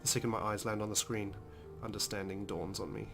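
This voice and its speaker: A man's voice: lowish voice, little pitch movement, fairly quick, vocal fry. A man hosting a let's play, reading visual novel narration aloud dramatically with casual, emotionally involved comments. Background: game music.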